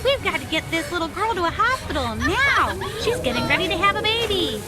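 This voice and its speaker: A woman playing a dry, brisk deputy with a matter-of-fact, bossy manner in comedic drama dialogue. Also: very high-pitched, sing-song, over a noisy crowd. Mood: urgent, bossy.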